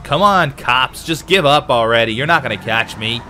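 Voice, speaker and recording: Lively, bright male game commentator, slightly nasal from a cold, excitable. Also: medium pitch, normal speed, a little hiss or hum. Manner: chatty, excitable, talks to viewers. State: has a cold, a bit nasal. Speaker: let's play host. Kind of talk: casual gameplay commentary.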